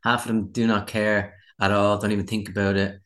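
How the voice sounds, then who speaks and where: A man's voice: on the low side, little pitch movement, brisk, a bit bright. An Irish man in his forties, a musician chatting casually in an interview with a wry, self-deprecating manner. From Ireland. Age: 40s.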